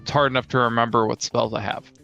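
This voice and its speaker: A man's voice: mid-range voice, level tone, quick pace, vocal fry, bright, forceful tone, highs cut off, faint music behind. A man co-hosting a casual chat about tabletop role-playing, talking as a player in an informal way. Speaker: podcast co-host and tabletop player.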